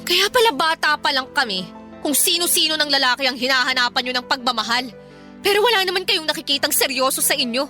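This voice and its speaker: A woman's voice: high-pitched, animated, fast, sharp tone, faint music behind. A woman with a Filipino accent playing a fed-up, protective young elder daughter in a radio drama, emphatic and reproachful. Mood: angry, reproachful.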